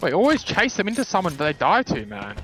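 Male gamer, high and very animated, urgent fast callouts with swearing over faint game noise. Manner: urgent, repeats calls, swears. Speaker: esports player calling plays. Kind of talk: excited in-game team callouts.

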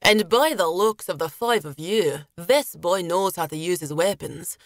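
A woman voicing a mature male officer in a storybook, measured and commanding, amused and lenient, with a lilting accent. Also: fairly low pitch, no echo, clean studio sound. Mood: calm authority.

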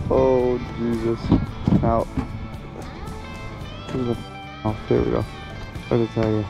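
A man in his thirties, a fishing vlogger, chatting casually and swearing from his kayak, with excited whoops when a fish bites. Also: medium pitch, extremely slow, vocal fry, long pauses, music in the background. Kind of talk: casual outdoor vlog chat. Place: outdoors in a kayak on a river. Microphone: action camera on the kayak.

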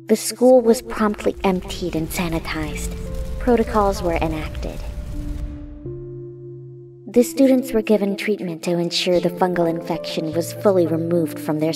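A woman voicing a weary, defiant young female medic in a comic dub, terse and flat-toned. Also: on the low side, lightly acted.

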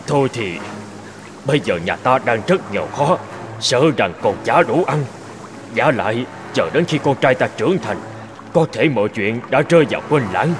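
A man playing a burly old fisherman father, anxious and regretful, in an animated fairy tale. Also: mid-range voice, close to an everyday voice.

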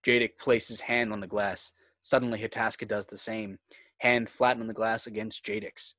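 A man's voice: on the low side, level tone, normal speed, pushed and bright, no room sound. A man reading scripted screenplay narration and stage directions for a table read.

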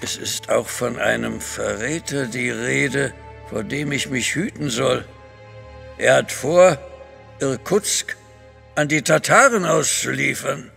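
An actor playing a formal, authoritative Russian grand duke in a German audio drama. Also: male voice, medium pitch, slow, vocal fry, bright, forceful tone, faint music behind, heavily acted. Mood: grave. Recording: long reverb of a large hall.

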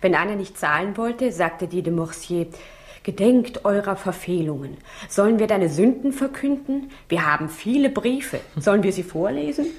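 An actress playing an intrigued, wry woman recounting events with measured, vivid delivery in a 1960s German radio drama. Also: medium pitch, lively intonation, lightly acted. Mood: solemn mimicry.

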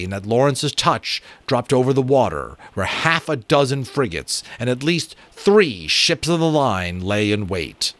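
A man in his thirties or forties giving an expressive, emphatic dramatic reading of an adventure novel. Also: medium pitch, no echo. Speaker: audiobook reader and channel host. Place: small room at home with bookshelves. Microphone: close desk microphone.

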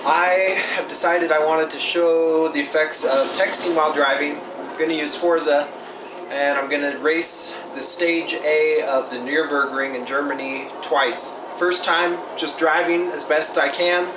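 A young man talks slowly and plainly through a home video demo, pausing on small fillers as he explains. His voice is caught by a camera mic across a room, so it sounds roomy, with clear background noise. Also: a little high, steady tone, recorded from a distance. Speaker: vlogger. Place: home room with a TV and game setup.

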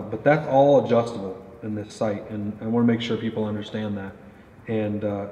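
A man's voice: on the low side, warm tone. A man in his thirties casually interviewing a guest and explaining archery gear, with many fillers, at an indoor range. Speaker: company pro staff interviewer. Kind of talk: product interview. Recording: roomy echo, dull, faint noise.

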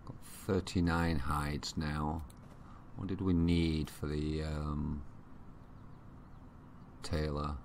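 Low-pitched Yorkshire man musing over a game. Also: flat delivery, a little mellow, slight background noise. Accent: Yorkshire English. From Yorkshire, England. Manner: unhurried, musing to himself. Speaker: gamer commentating own play. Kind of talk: casual let's play commentary. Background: strategy game ambience.

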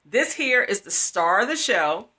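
A woman's voice: medium pitch, big pitch swings, unhurried, sharp tone, breathy edge. A middle-aged woman hosting a casual promotional video chat, enthusiastic and emphatic, repeating phrases, recorded on a phone at arm's length in a small room. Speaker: host, writer and producer of an audio drama series.

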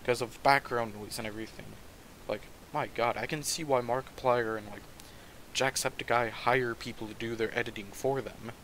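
A soft-spoken man talks casually and a little rambly while he plays a game at home. His voice sits in an ordinary middle register. The game's sound carries clearly underneath his commentary. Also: medium pitch, fairly even intonation, moderate pace, a little hiss or hum, low-quality recording. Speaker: gaming channel host.

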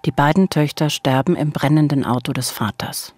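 A woman's voice: low voice, steady tone, normal speed, a little mellow, a little hiss or hum. A woman co-hosting a true-crime podcast, telling the story at a close studio microphone. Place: small radio studio.

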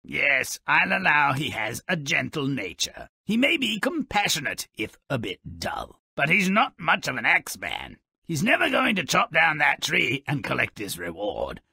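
Cynical little dwarf narrator, video game fairy tale, raspy, sharp and forceful, gleefully sarcastic, theatrical. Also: male voice, fairly high pitch, wildly swooping pitch, moderate pace, no room sound, clean studio sound, heavily acted. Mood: sardonic. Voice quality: raspy, gravelly. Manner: sarcastic, gleeful, exaggerated delivery. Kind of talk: theatrical sardonic storybook narration.

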